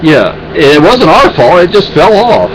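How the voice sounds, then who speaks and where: High-voiced man venting at home. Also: very animated, a little slow, crowd noise, rough recording. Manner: rambling, exasperated, many restarts. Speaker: vlogger. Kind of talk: casual venting chat.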